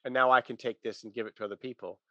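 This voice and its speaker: Bright, emphatic man in religious discussion. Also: medium pitch, fairly even intonation, racing, dry recording, lo-fi sound.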